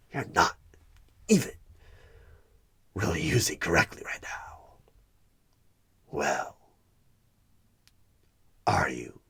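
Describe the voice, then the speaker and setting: A man playing a sinister, smug devil of a recruiter in a horror ASMR roleplay, coaxing and condescending, with a gravelly voice that often slips toward a whisper. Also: extremely low pitch, a bit bright, full character voice. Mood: tempting, needling. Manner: slow, deliberate, coaxing, condescending. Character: sinister devilish male recruiter, smug soul-buying tempter. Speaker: roleplay performer playing a job interviewer. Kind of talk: one-sided horror ASMR audio roleplay.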